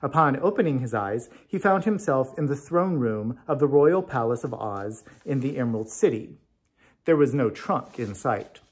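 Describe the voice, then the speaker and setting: A man reading a storybook tale aloud as narrator, in an expressive scripted style. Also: medium pitch, normal speed, husky. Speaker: story reader.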